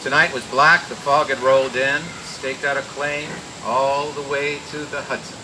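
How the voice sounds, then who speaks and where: A man playing a world-weary, wisecracking private detective in radio noir style, reading a little haltingly. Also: mid-range voice, little pitch movement, background noise, natural voice. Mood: brooding narration. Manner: halting read with stumbles and wry asides. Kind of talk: 1940s-style radio noir acting and narration.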